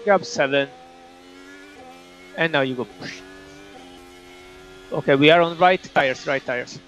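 A man's voice: on the high side, slow pace, a bit bright. A man working as a race engineer, giving clipped instructions and countdowns to a driver over team radio. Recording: dull noisy motorsport radio link.

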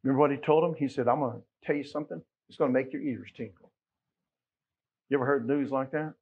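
A middle-aged American man preaching a Baptist sermon, emphatic, moving between quiet asides and loud exclamations and quoting scripture. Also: mid-range voice, fast talker, breathy edge, narrow, tinny audio. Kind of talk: Sunday sermon with scripture quotations. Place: small church sanctuary, at the pulpit.

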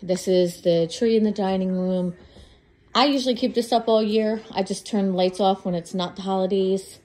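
A woman's voice: medium pitch, steady tone, normal speed, warm tone, low-quality recording. Congested woman chatting on a home tour. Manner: chatty, listing where items were bought. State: congested from a cold. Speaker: home vlogger. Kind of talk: casual home tour narration. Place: house interior, walking room to room. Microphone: handheld phone camera.

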